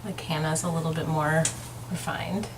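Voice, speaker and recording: A woman's voice: deep voice, fairly even intonation. Woman giving a studio tour, noisy background. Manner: unhurried, explanatory. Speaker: author and illustrator. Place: home art studio. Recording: noticeable background noise.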